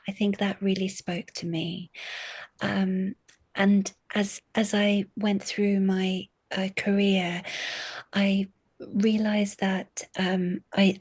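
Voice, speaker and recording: A woman lecturer answering interview questions thoughtfully, pausing and restarting often. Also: mid-range voice, darkish tone, no echo. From family from Syria. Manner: thoughtful, hesitant, many restarts. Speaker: guest, literature lecturer. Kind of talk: academic interview.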